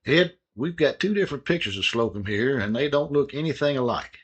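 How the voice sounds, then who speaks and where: An actor playing a calm, methodical male detective partner in a radio drama. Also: mid-range voice, brisk, breathy edge. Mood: explaining.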